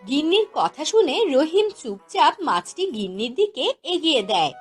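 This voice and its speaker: A woman's voice: high-pitched, big pitch swings, moderate pace, faint music behind. A woman narrating a scripted fairy tale for a cartoon as the storyteller.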